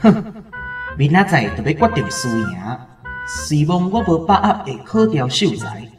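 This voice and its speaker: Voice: mid-range voice, very animated, a little slow. A performer with a Taiwanese accent playing a spiteful, scheming young male student in a radio drama, sneering and exaggerated, with an echoing recording. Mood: scheming, gloating. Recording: echoing voice over loud music.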